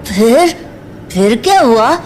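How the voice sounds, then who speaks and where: Slow, halting, innocent young boy played by a woman. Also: a little high, very animated. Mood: curious. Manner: hesitant, halting, childlike. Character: confused, innocent young boy questioning his father. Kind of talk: Hindi horror audio drama acting. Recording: music under the voice.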